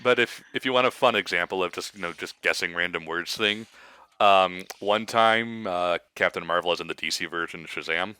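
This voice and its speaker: A man chatting casually as a gaming commentator, telling anecdotes in a halting, stop-start way. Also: deep voice, bright, forceful tone, clear, resonant tone, dry recording, rough recording. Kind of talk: casual chat, joking anecdotes.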